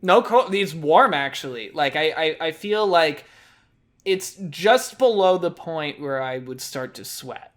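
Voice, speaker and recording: A man's voice: a little high, expressive. Stammering, rambling podcast co-host man. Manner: rambling, stammering restarts, quick laughs. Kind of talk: casual chat.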